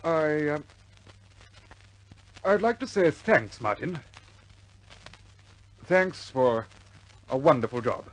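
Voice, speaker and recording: A senior air force commander from a 1950s British war film, polite and immovable. He speaks very slowly in a slightly raised pitch. His delivery is formal and measured, with pauses and quiet regret. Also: expressive, rough recording. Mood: kindly, formal. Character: courteous, firm senior male RAF commander, quietly regretful.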